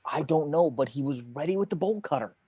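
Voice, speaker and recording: A man playing an intense, brooding investigator with a husky, halting delivery in a drama table read. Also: a little high, lively intonation, mellow. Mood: intense, puzzling. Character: Will Graham, intense, haunted male FBI investigator.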